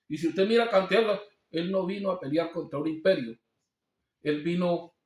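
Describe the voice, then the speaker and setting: A male pastor preaching a sermon, asking rhetorical questions and quoting scripture. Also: fairly high pitch. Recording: echoey room.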